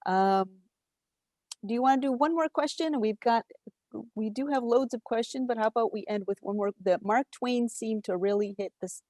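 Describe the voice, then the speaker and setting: A woman's voice: a little high, normal speed, a little mellow, no room sound. A woman hosting and moderating a virtual talkback over a video call, effusive and reading out audience questions. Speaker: library program host and moderator.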